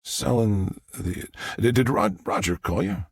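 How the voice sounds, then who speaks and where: Man acting a flustered, stammering guy with a husky voice. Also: on the low side, unhurried, no room sound, clean studio sound. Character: rattled, stammering grieving son, an adult man. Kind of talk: audiobook character dialogue.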